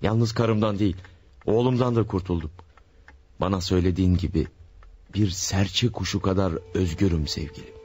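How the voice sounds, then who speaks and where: A man's voice: sits low, steady tone, moderate pace, darkish tone, close to an everyday voice. A man playing a cold-blooded killer in a radio drama, muttering and gloating to himself as he hides his crime. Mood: scheming. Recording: old radio play with faint hiss.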